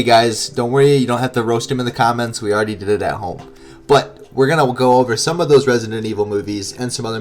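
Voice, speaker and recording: Nasal, congested man hosting a podcast. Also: medium pitch. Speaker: podcast co-host. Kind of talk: casual podcast chat.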